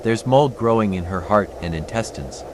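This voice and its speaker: A devil hunter, played by a man in a manga dub. His voice is deep and matter-of-fact. Loud background noise fills the recording. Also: lively intonation, moderate pace, natural voice. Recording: very noisy track with street ambience.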